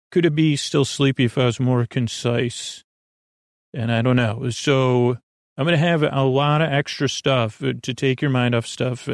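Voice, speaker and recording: A man with a creaky voice hosts a sleep podcast, rambling through a bedtime monologue with halting repetitions and drawn-out words. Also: medium pitch, dry recording, studio quality. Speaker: podcast host. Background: music.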